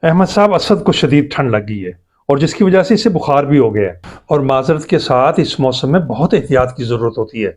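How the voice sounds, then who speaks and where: A man's voice: medium pitch, animated, a little mellow, close to an everyday voice. A man playing a polite family doctor in a scripted drama, giving instructive, emphatic advice. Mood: grave.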